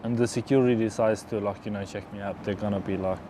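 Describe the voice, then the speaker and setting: A man's voice: lowish voice, fairly even intonation, fast, background noise. A young man from Afghanistan in his twenties, chatting casually in a documentary interview with many fillers. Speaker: interviewee, engineering student.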